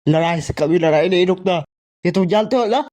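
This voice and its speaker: A man's voice: sits high, fairly quick, darkish tone, close to an everyday voice. A man voicing an earnest, loyal young ninja hero who appeals to his rival, in an amateur anime fan dub. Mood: questioning, earnest.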